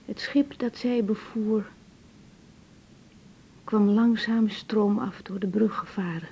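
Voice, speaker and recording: An actress playing a compassionate, troubled young woman doctor in a radio drama, speaking in a hushed, hesitant, tender manner. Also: slow. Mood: solemn reciting. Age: young adult. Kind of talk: naturalistic 1980s radio drama. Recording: dull, muffled tape with faint rumble.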